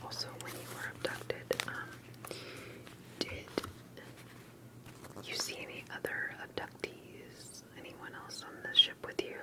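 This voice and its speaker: Whispering woman in an ASMR interview roleplay. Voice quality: breathy, unvoiced whisper. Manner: gentle, hesitant, unhurried questioning with soft pauses. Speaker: ASMR performer playing an interviewer.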